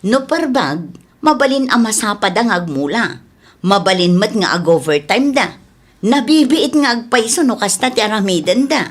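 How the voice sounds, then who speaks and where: A woman's voice: mid-range voice, lively intonation, normal speed, lightly acted. Grown farm daughter woman actor, practical and bossy, Ilocano accent, comic radio drama. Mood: questioning, practical.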